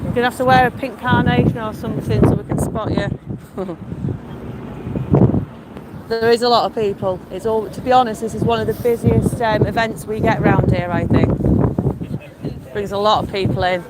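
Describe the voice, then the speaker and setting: A high-pitched man from Lancashire rambles in a friendly, meandering way while he strolls outdoors and answers his live chat. Heavy wind buffets a handheld phone mic, roaring over much of what he says. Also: steady tone, normal speed, long pauses. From Lancashire, England. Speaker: live-streaming vlogger. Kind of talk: casual live-stream chat with viewers. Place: outdoors in a windy seaside town. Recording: loud wind noise on the mic.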